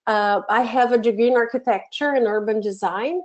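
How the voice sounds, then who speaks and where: A woman from Brazil with a Brazilian Portuguese accent, hosting a live software webinar from her home office and talking in a friendly way with many fillers and restarts. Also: fairly high pitch, brisk. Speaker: webinar host, education program manager. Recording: dull lo-fi webinar audio.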